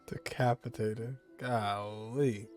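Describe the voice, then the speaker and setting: A man's voice: fairly low pitch, fairly even intonation, slow, darkish tone. A man giving casual, slangy let's play commentary as a game streamer, reacting as he goes. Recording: game music behind the voice. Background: video game music.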